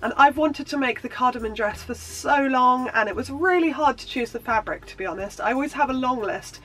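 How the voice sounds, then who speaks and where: A woman's voice: high voice, a little fast, a bit bright, poor audio. A woman sewing vlogger with a blocked nose from a cold, chatting in a bubbly, rambling way with lots of ums. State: congested from a cold. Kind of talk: casual chatty show-and-tell.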